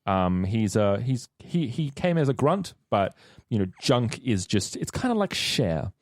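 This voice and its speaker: A man's voice: lowish voice, big pitch swings, unhurried, no room sound, clean studio sound. An Australian man chatting casually on a tabletop gaming podcast, explaining things earnestly as one of the players. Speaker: actor and player on a tabletop role-playing podcast. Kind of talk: casual chat.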